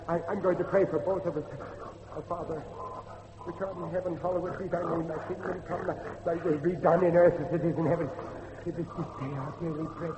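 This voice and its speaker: A man playing a high-pitched, desperate preaching father. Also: natural voice. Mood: anxious, praying. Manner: preacherly, urgent, repeating himself. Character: desperate, pious father pleading with his possessed son. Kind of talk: dramatic horror audio drama acting. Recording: dull lo-fi audio, loud background noise.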